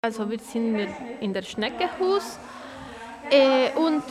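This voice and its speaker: Lady, slow and unsure, kinda high voice, people chatting around her. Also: lively intonation, in a large hall, a crowd in the background, poor audio. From Argentina. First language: Spanish. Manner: hesitant, searching for words. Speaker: interviewee. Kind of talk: casual interview answers.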